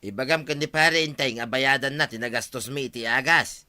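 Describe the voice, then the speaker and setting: A humble village farmer in an Ilocano radio drama. He speaks at a middle pitch with a bright, forceful edge, plaintive and emphatic. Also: fairly quick, no room sound. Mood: aggrieved. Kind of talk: Philippine radio drama acting.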